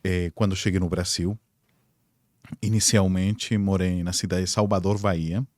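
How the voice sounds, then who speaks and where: A man's voice: low voice, level tone, unhurried, a little mellow, dry recording, studio quality. A man in his forties from Peru with a Peruvian Spanish accent, a native Spanish speaker talking steadily with small hesitations and light humor. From Arequipa, Peru. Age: 40s. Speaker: guest, designer and writer.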